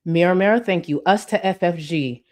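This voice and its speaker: A woman's voice: lowish voice, normal speed. A woman hosting a live commentary stream, reading chat and mocking her target with heavy sarcasm and swearing.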